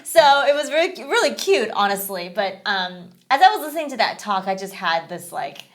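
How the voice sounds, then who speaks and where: Woman, podcast co-host, casual chat, rambling, lots of fillers, mid pitch. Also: sing-song, a little fast, fairly bright tone. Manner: chatty, rambling, many fillers like "you know".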